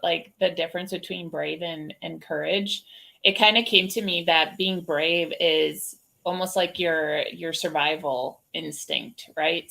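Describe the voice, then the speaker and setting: Guest: woman coach, low-ish voice, sincere storyteller on a video call. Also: creaky, sharp tone, rough recording. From Wisconsin, USA. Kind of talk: casual guest interview.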